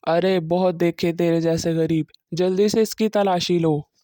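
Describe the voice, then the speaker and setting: A woman's voice: on the low side, steady tone, unhurried, mellow, dry recording, studio quality, pitched below the performer's own voice, lightly acted. A woman voicing a bossy, menacing, greedy male bandit in a cartoon.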